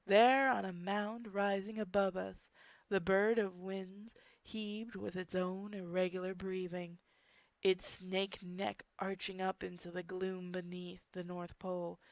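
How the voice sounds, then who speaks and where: A woman reads a dark story aloud at an unhurried pace, with a medium-pitched voice in a dry recording. She is informal about it, stumbling on words and slipping into blunt casual asides. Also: level tone, dry recording. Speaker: story reader. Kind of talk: amateur dramatic reading of a horror story with joking asides.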